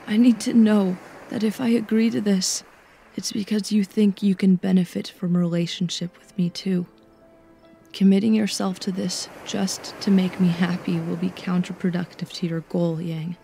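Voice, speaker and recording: A woman voicing a guarded young cat-eared huntress in an audiobook, serious and tender, with a measured, hushed delivery. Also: medium pitch, little pitch movement, creaky, soft background music, close to an everyday voice. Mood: anxious, earnest. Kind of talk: audiobook fan fiction character dialogue.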